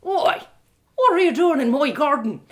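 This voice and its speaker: A woman's voice: sits high, lively intonation, moderate pace, pitched above the performer's own voice. A woman voicing a suspicious rustic cottage owner who scolds brusquely in countrified speech. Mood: scolding. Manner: rustic dialect grammar, brusque.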